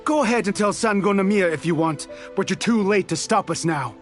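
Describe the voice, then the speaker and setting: An actor playing an embittered young resistance soldier in a video game, proud, earnest and impassioned. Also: male voice, high-pitched, brisk, lightly acted. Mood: anguished, bitter. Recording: background music under dialogue.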